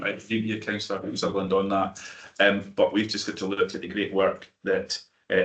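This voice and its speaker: A man's voice: on the low side, a bit bright, roomy sound, rough recording. A man with a North-East Scots accent whose first tongue is Doric, speaking earnestly as a councillor at a committee meeting. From North-East Scotland.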